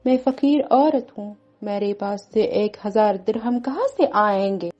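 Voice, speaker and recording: A woman's voice: medium pitch, a little mellow, highs cut off, close to an everyday voice. A woman playing a poor, frightened street seller in a cartoon story, speaking meekly and pleadingly. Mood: pleading, tearful. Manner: pleading, meek, tearful.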